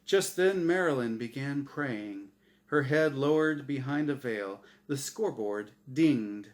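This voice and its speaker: A man reading his own story aloud as a dramatic, humorous narration, deliberate and expressive. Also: mid-range voice.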